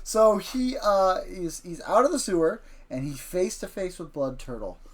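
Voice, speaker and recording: A man hosting an improvised story session with a child, wry and gently teasing as he narrates. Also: sits high, lively intonation, normal speed. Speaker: host, parent telling a story with a child.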